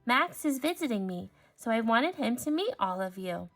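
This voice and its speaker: A woman voicing a kind, patient female teacher in a storybook, explaining things gently. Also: a little high, sing-song, moderate pace.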